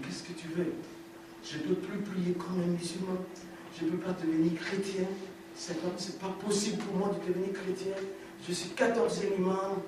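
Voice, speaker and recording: A man's voice: high voice, noisy background. A man giving an emphatic preached testimony, repeating lines for effect, recorded from far off in a large hall. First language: African language. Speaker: testimony speaker.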